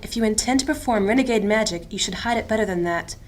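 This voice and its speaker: A woman's voice: mid-range voice, lightly acted. A woman playing a proud, formal noblewoman mage and strict mentor in a fantasy audio drama. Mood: stern. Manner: formal, measured, imperious.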